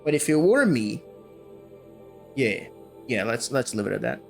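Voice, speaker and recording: A man's voice: medium pitch, big pitch swings, unhurried, gravelly. Casual man streaming a game, teasing chat, mid-range voice, faint music behind. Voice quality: banters jokingly with chat. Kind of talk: casual gameplay commentary.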